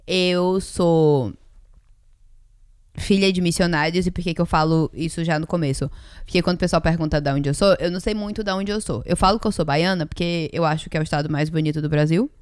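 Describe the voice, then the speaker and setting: A Brazilian woman of about thirty chatting casually as a podcast co-host, informal and quick to joke about herself. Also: lowish voice, smooth, no room sound, clean studio sound. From Ceará, Brazil.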